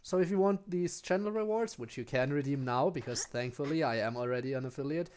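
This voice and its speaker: Male streamer, mid-pitched, rambling casually. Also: fairly quick, a little mellow. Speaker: game streamer. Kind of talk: casual livestream commentary. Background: faint music.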